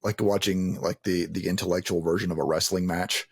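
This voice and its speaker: A man speaks in a lowish, slightly rough voice with a very dry sound. His delivery is casual and conversational, circling and restarting as he explains, with occasional swearing. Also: steady tone, fairly quick, no echo.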